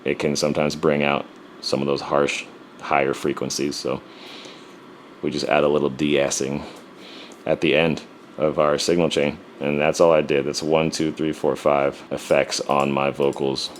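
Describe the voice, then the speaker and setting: A man's voice: a bass voice, flat delivery, moderate pace, slight background noise. A man who raps and produces, casually walking through his mixing steps with a profane, joking swagger. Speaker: rapper and music producer. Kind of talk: casual music production walkthrough.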